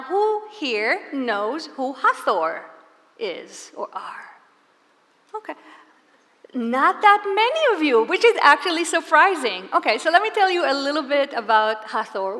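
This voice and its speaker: A woman from Israel gives a live presentation to an audience, speaking earnestly and asking them rhetorical questions. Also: a little high. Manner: earnest, warm-spoken storyteller with rhetorical questions. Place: large hall before a live audience. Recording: long hall reverb.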